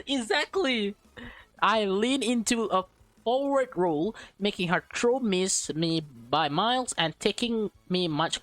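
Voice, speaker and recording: Female let's player, mid-range voice, expressive narration with casual asides, dry sound. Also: medium pitch, no echo. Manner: expressive, interjecting reactions, stumbling over words. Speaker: let's play streamer. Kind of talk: visual novel read-aloud with casual asides.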